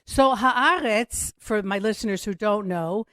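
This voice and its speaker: A woman's voice: mid-range voice, unhurried, a bit bright, no room sound, studio quality. A woman hosting an interview podcast, asking chatty, eager questions. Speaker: podcast host.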